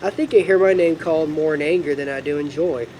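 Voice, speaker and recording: A man's voice: on the high side, fast, darkish tone, a little hiss or hum, lightly acted, poor audio. A man acting as God, a heartbroken, loving father with a sorrowful, reflective manner in film dialogue.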